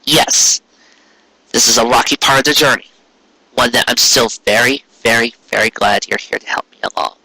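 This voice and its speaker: Man reading a bright-voiced, gentle fatherly stallion. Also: medium pitch, normal speed, creaky, breathy edge, no echo, exaggerated voice, low-quality recording. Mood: tender. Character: gentle, fatherly married stallion, a devoted husband. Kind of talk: fan fiction audiobook character voice.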